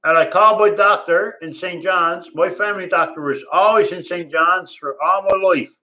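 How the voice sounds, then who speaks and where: A man talks loudly and casually into a tablet, circling back over the same points and swearing freely. His voice sits high and bright. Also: fairly even intonation, normal speed, low-quality recording. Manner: loud, profane, laughs often, repeats himself. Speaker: vlogger. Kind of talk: rambling casual monologue. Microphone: tablet recording.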